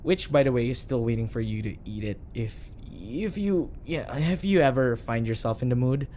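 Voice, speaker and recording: A man's voice: medium pitch, lively intonation, normal speed, dark tone, a little hiss or hum, natural voice. A man playing a flustered, obsessively devoted bodyguard in an audio roleplay, earnest and nervously backpedalling. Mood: nervous cover-up. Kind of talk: audio roleplay acting addressed to the listener.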